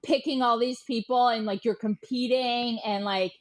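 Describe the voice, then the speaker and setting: A woman's voice: fairly high pitch, fairly even intonation, normal speed. A woman chatting casually as a podcast guest, very informal, scattering filler words and acting out quoted remarks. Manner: informal, peppers speech with "like", animatedly quotes others. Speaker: journalist and author, podcast guest. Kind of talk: casual chat.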